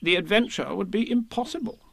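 A man's voice: high voice, sing-song, unhurried, a bit bright, voice pushed up, full character voice. A man voicing a self-important, scheming rabbit from a storybook in a pompous, declaiming manner. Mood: solemn.

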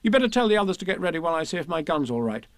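A man acts a young storybook boy, fond and a little bossy. The voice is raised high and very animated, full of confidence. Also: rapid-fire, dry recording, clean studio sound. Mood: bossy, instructing. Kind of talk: children's storybook reading.

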